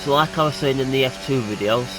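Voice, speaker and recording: A man's voice: mid-range voice, fast. A young man with a nasal voice from hay fever, chatting casually while commentating his racing game. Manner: chatty, excitable. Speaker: gaming YouTuber. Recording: noisy home recording.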